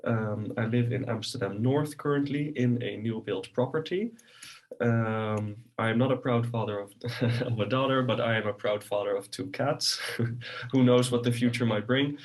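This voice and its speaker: A man's voice: mid-range voice, normal speed. A young man with a Dutch accent presenting a webinar in a chatty way, full of ums and restarts. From Netherlands. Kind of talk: casual presentation.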